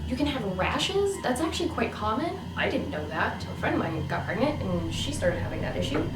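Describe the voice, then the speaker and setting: A woman's voice: on the high side, roomy sound, recorded from a distance, background noise. A woman in her thirties talking earnestly and emphatically in a casual monologue, with many fillers. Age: 30s. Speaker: vlogger.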